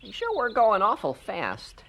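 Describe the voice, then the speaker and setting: A woman acting an excitable, argumentative country boy in a 1970s radio drama, with an animated, exclamatory delivery. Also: mid-range voice, a little slow, slight background noise, heavily acted. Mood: curious.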